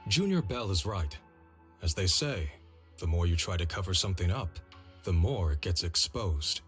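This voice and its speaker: An actor playing a steady, shrewd senior male disciple in a video game, with a low, husky voice and measured, reassuring manner. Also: faint music behind. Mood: thoughtful.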